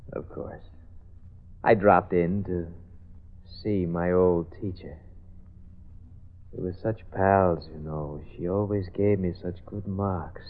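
A smug, unhinged killer. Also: male voice, sits low, fairly even intonation, takes it slowly, long pauses. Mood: taunting. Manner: taunting, sly, bursts into rage. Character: unhinged adult male killer, smug and menacing. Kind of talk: 1940s radio acting. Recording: tinny old radio broadcast.

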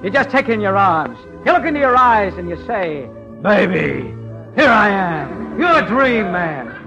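A brash high-pitched comic schemer. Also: male voice, sing-song, unhurried, creaky, heavily acted. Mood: cocky. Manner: wisecracking, cocky, pompous when posing. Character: brash, fast-talking male schemer posing as Sherlock Holmes. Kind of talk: 1940s radio comedy acting. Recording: dull old radio recording with music.

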